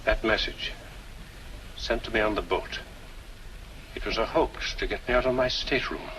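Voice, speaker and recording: An actor playing a brisk, confident gentleman courier in an old-style drama. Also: lowish voice, lightly acted. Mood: dismayed. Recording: distant, noisy old soundtrack.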